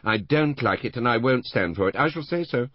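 A man's voice: medium pitch, lively intonation, fairly quick, no echo, muffled recording, voice pushed up, close to an everyday voice. A man voicing a tipsy, indignant older woman who rambles and fumbles for words, in an audiobook. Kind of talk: audiobook character voice.

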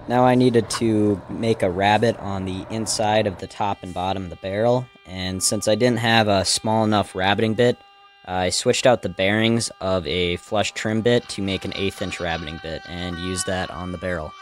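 Low-voiced man narrating over background noise. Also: fairly even intonation, moderate pace. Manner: plain, matter-of-fact step-by-step. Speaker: woodworker, video host. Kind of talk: scripted how-to narration.

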